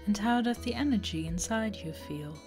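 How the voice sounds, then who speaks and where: A woman reading a guided meditation, giving slow, deliberate instructions in short phrases. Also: mid-range voice, lively intonation. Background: relaxing background music.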